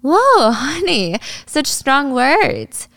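A woman's voice: on the high side, lively intonation, fairly quick, no room sound, lightly acted. Woman storyteller doing a mean young influencer, scornful, condescending, sarcastic, storytime drama, close dry sound. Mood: mock sweet.

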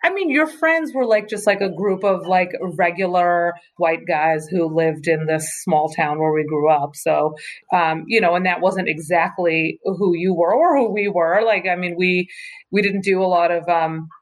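A young woman being interviewed by her brother. She talks in a halting way, full of "like" and "um" as she hunts for words. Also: lowish voice. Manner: halting, filler-heavy, searching for words. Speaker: host's sister, interviewee. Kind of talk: casual interview.

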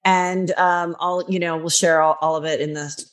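A woman's voice: on the low side, fairly even intonation, moderate pace. A woman hosting a podcast, interviewing an author and affirming her answers. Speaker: podcast host.

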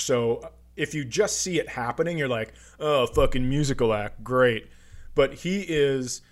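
A man co-hosting a comedy podcast, telling stories in an amused, emphatic, profane way. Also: medium pitch. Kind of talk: casual comedic podcast banter.

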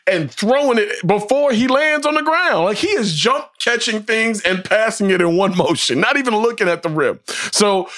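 Higher-pitched man talking sports casually. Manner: emphatic, slangy, conversational. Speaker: sports podcast host. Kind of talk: casual sports commentary monologue.

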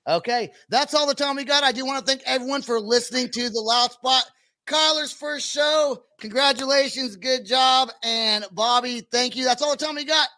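Very high-pitched, bright American man, brash. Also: moderate pace, dry recording, studio quality. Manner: jokey, brash, sweary. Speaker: podcast host. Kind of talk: casual podcast chat.

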